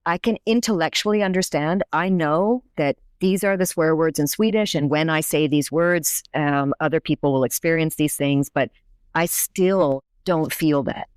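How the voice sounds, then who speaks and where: A woman's voice: low voice, normal speed, a little mellow, smooth, dry recording. A woman professor and native English speaker, explaining her research in a conversational podcast interview, thoughtful with frequent restarts.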